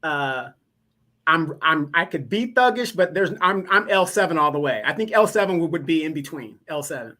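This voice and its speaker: Joking man caller, bright forceful voice. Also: fairly high pitch, expressive, moderate pace. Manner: joking, laid-back. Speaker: caller on a livestream. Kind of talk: casual banter.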